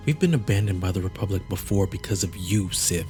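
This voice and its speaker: A man's voice: low voice, expressive, a little fast, a little mellow. A man playing a defiant, unbroken captive leader of an alien resistance in an audio drama. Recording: faint music underneath.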